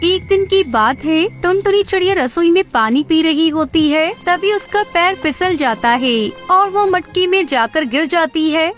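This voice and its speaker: Very high-pitched woman storyteller. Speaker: narrator. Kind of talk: scripted children's moral story narration. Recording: music bed behind the voice.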